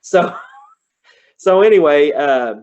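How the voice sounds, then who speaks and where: A man's voice: fairly high pitch, expressive, takes it slowly, darkish tone, lo-fi sound. A man in his forties with a Kentucky accent, giving a folksy, conversational presentation as a guest engineer over a video call. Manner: folksy, conversational, says y'all. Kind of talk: online presentation. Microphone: computer on a video call.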